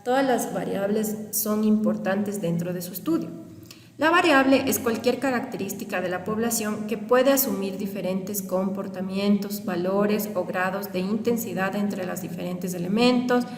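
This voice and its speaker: A woman's voice: mid-range voice, moderate pace, recorded from a distance. A young woman walks her students through lecture slides, repeating points and asking rhetorical questions. She is recorded on a webcam mic well away from her mouth. The small room rings with a long, roomy reverb. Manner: explanatory, repetitive, checks understanding with rhetorical questions. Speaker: university lecturer.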